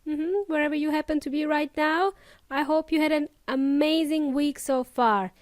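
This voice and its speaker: A woman's voice: high voice, normal speed, no echo, clean studio sound. A young woman with an Eastern European accent tinged with New Zealand and Australian sounds, hosting an upbeat English lesson podcast and talking straight to her listeners. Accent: Eastern European with New Zealand and Australian traces. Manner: upbeat, addresses listeners directly with Spanish asides. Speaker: English teacher podcast host.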